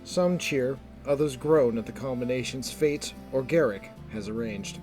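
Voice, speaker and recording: Measured male fantasy narrator over music. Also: mid-range voice, a little mellow. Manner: measured, descriptive storytelling. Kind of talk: scripted fantasy story narration.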